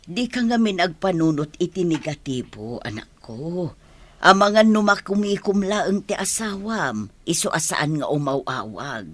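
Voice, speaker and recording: A woman playing a gentle, worried old grandmother in a radio drama, soothing and admonishing her family. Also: on the low side, big pitch swings, a little slow.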